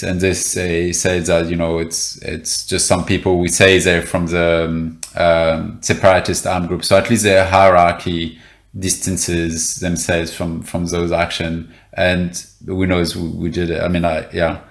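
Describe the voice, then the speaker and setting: A man's voice: low-pitched, reverberant. A man, a reporter guest in an interview, explaining events with many fillers and restarts. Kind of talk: interview conversation.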